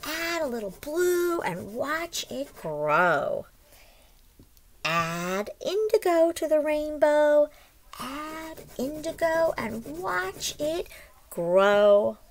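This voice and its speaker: Woman with lively, sing-song read-aloud delivery. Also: high voice, slight background noise. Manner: expressive, sing-song, deliberate delivery addressed to children. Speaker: teacher reading a picture book aloud. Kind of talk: read-aloud lesson for young children. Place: small room at home, indoors.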